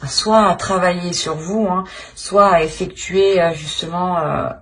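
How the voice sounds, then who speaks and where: A woman's voice: on the low side, unhurried. A woman giving an improvised tarot reading straight to the viewer, feeling her way through repeated phrases and tag questions. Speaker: tarot reader, channel host.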